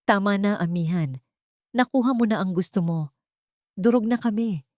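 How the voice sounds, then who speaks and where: A woman's voice: medium pitch, normal speed, a little mellow, no echo, clean studio sound, pitched above the performer's own voice, natural voice. Woman acting defeated, pleading man.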